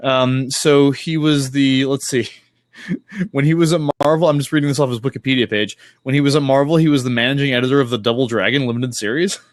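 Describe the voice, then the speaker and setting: A man with a mid-pitched voice talks as a casual chat host in a dry, close space. He rambles and halts, often restarting his phrases, and swears offhandedly. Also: fairly even intonation, no room sound. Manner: rambling, halting delivery with frequent self-corrections. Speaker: podcast host.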